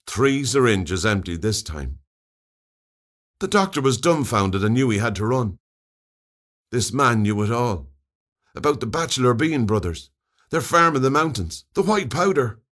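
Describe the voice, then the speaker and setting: A man with an Irish accent reading a comic short story aloud in an expressive, wry way. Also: medium pitch, a little slow, pauses often. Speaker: story reader.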